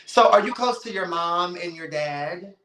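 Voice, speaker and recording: A playful man in his thirties with a high, bright voice hosts a casual interview. He teases his guest and bursts into exclamations. The room is echoey. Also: a little slow. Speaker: podcast host.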